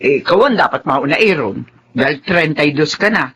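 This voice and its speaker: Animated, fussing older Filipino mother. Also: low voice, a little fast, breathy edge, full character voice. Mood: chiding. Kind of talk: Filipino radio drama acting.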